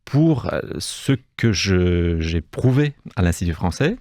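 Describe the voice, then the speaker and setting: A man's voice: sits low, sing-song, takes it slowly, darkish tone, no room sound, clean studio sound. A man with a Romanian accent, a guest in a radio interview, speaking hesitantly with many fillers and restarts. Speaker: guest, cultural institute deputy director. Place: radio studio.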